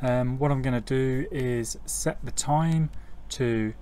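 A man's voice: mid-range voice, slow, mellow. A middle-aged man working as a mechanic, giving an informal, step-by-step technical tutorial outdoors on a driveway. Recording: clear ambient noise, outdoor driveway.

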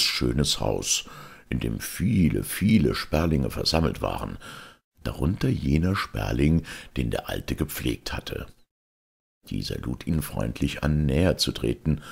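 A man's voice: a bass voice, big pitch swings, measured pace, creaky, husky. A man reading a fairy tale aloud as a storyteller, in scripted narration.

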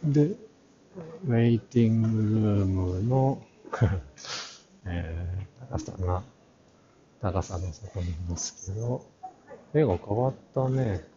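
A middle-aged man giving casual walk-through commentary for a vlog, halting and muttering asides to himself. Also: on the low side, a crowd in the background. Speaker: art channel vlogger giving a walk-through. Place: large crowded indoor exhibition hall. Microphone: action camera at arm's length, face mask on. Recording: muffled audio in a busy crowded hall.

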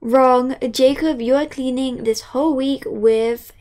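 A woman playing a strict schoolteacher in an amateur game movie, brisk and commanding. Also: high-pitched, steady tone, a little mellow.

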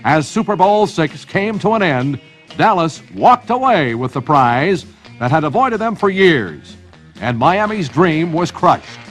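An American man reading scripted, dramatic narration for a sports highlight film. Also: very animated, unhurried, fairly bright tone. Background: music.

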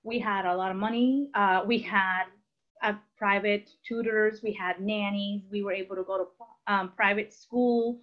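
A woman in her thirties from Costa Rica telling her life story as a personal testimony over a video call. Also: mid-range voice. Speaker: guest sharing her testimony. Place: room at home, indoors. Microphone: computer mic on a video call. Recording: dull, lo-fi video call audio.

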